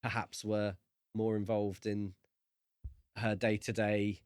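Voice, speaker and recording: Low-voiced, thoughtful man on a book podcast. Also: little pitch movement, darkish tone, clear, resonant tone, dry recording, clean studio sound. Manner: halting, thoughtful phrasing. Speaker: podcast co-host. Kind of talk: casual book discussion.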